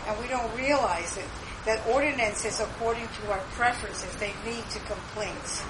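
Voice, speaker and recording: A woman's voice: fairly high pitch, normal speed. A woman thinking aloud as she shares a reflection at a church meeting. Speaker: church member sharing. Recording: noisy recording.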